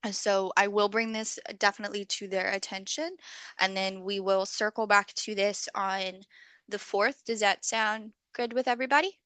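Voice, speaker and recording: Slightly hoarse woman hosting a call. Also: medium pitch, steady tone, normal speed, bright, forceful tone, no echo. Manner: friendly facilitator, many okays. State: slightly hoarse, losing her voice. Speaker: meeting host. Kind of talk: online community call. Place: video call.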